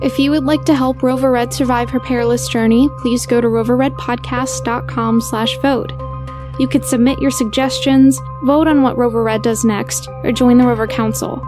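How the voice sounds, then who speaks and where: A woman's voice: on the high side, steady tone, normal speed, over music. A woman reading a scripted introduction as a podcast announcer. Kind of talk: scripted narration.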